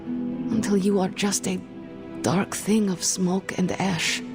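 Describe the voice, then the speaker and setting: A woman's voice: medium pitch, flat delivery. A woman voicing a bitter, haughty young sorceress in a video game, guarded and formal in her speech. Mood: brooding, grim. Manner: formal, disdainful, deliberate. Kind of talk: scripted game dialogue. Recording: music behind the voice.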